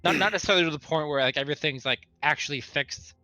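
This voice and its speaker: A man's voice: on the high side, very fast, pushed and bright, no room sound. A man chatting casually as a player in a tabletop roleplaying game.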